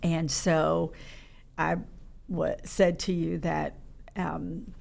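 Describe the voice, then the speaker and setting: A woman's voice: low voice, level tone, very slow, gravelly, darkish tone. A middle-aged woman, a podcast guest, talking in a reflective way and searching for her words in a studio. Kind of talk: casual interview chat.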